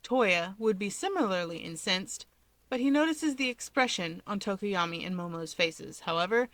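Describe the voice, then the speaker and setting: Bright-voiced woman narrating a story. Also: mid-range voice, lively intonation, moderate pace, dry recording. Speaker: fan fiction reader.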